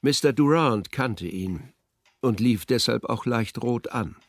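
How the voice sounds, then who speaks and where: A man's voice: medium pitch, animated, slow pace, a little hiss or hum. A male actor narrating a literary radio drama as a wry, scripted storyteller. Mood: wry, measured.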